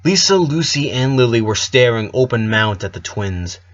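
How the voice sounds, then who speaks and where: A man narrating fan fiction aloud, expressive, occasionally stumbling over a word and correcting himself. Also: medium pitch, moderate pace. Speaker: story narrator. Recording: slightly dull, lo-fi microphone.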